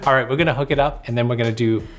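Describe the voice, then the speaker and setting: A man's voice: mid-range voice, fairly even intonation, very fast. A man in his thirties hosting a music gear show, mixing scripted news with casual review talk in a studio. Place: home music studio, indoors.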